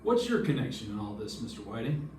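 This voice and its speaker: A man's voice: mid-range voice, very animated, fast, darkish tone, close to an everyday voice. A man playing a wry, skeptical hard-boiled investigator in an old-time radio detective drama. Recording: echoey room, distant mic, background noise.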